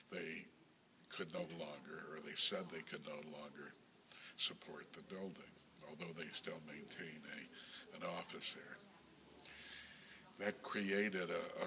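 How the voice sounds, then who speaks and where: Older man, synagogue president type; deep gravelly voice, measured and halting, faint hiss in the background. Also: sharp tone. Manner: measured, halting, with many ums and self-corrections. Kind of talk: recorded interview reminiscence.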